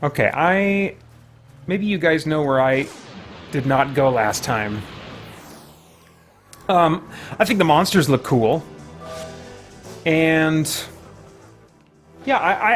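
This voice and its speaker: Guy streamer, slightly high voice, rambles while he plays, faint music behind. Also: lively intonation, slow pace, pauses often. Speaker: live stream host. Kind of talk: casual game stream chat.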